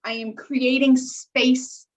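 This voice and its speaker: A woman's voice: high voice, little pitch movement, slow pace. A woman podcast co-host chatting casually on a remote call, in a bubbly and chatty way. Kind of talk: casual chat. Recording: dull, lo-fi call audio.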